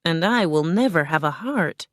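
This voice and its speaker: A woman voicing a kindly, loyal tin man in a storybook, gentle and earnest. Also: on the low side, animated, normal speed, dry recording, studio quality, natural voice.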